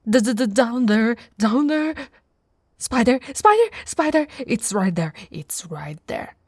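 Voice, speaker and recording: A woman from Italy playing an affectionate, teasing female orc warrior in an intimate, soft-spoken ASMR roleplay. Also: on the high side, big pitch swings, a little slow, no room sound, studio quality. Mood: panicked, squeamish. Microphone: close, dry microphone.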